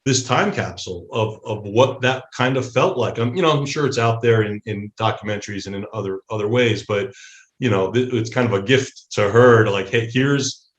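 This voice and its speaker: A middle-aged man chatting casually as an interview guest, halting and often restarting his thoughts. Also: on the low side. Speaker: interview guest, theatre creator. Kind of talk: casual conversation. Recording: echoey room.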